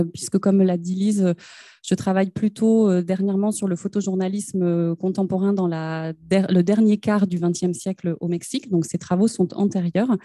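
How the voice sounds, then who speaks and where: Very dark-voiced woman lecturer, halting. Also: fairly low pitch, no echo, clean studio sound. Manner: halting, frequent fillers. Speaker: university lecturer giving a conference paper. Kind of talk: academic conference presentation.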